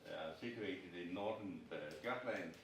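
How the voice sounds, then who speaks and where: A man's voice: deep voice, measured pace. A man from Denmark, a retired innovation officer introducing himself with dry self-mockery. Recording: very echoey room, distant mic, loud crowd and noise.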